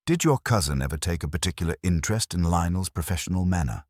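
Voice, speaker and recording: Man playing a very deep-voiced, dry police inspector. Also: lively intonation, dry recording. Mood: civil, gentle. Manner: measured, formal, wry. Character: calm, dry, measured male police inspector.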